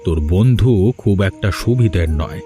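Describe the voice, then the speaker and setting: A man's voice: lowish voice, normal speed, warm tone, soft background music, natural voice. A man voicing a stern but kindly hermit holy man in a cartoon. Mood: grave, kindly. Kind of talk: Bengali moral-tale cartoon dubbing.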